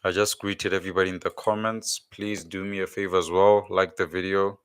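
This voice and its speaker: A man's voice: sits low, level tone, brisk, smooth, dry recording. A man hosting a casual religious livestream, congested with a blocked nose and sore throat, rambling and repeating words as he searches for them. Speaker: livestream host.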